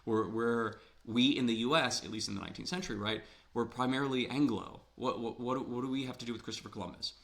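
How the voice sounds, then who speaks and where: A man's voice: mid-range voice, fast, creaky, hardly any pauses, a bit bright. A man from Ohio giving a literature lecture in a conversational way, with rhetorical questions, his voice a little worn. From Ohio, USA. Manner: conversational, asks rhetorical questions, repeats words when emphasizing. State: slightly losing his voice.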